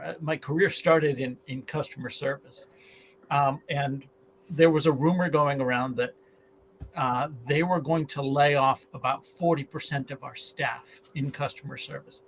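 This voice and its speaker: A man's voice: on the high side, a bit bright, slight background noise, poor audio. A man on a livestream panel, earnestly telling a story from his career to make his point.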